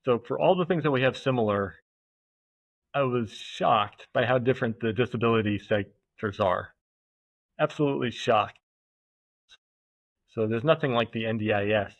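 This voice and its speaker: A man speaks as a podcast guest, halting and reflective, circling back and restarting as he thinks aloud. His voice sits at a middling pitch and sounds dry and close. The recording is dull and slightly muffled. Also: no room sound. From United States. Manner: thoughtful, halting, with many fillers and restarts. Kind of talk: casual conversational interview.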